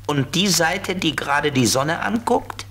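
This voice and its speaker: A man playing a friendly know-it-all flying ladybird car in a children's audio play, explaining things simply in a husky voice. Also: fairly high pitch, expressive, a little slow, a bit bright, slight background noise. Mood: patient, explaining.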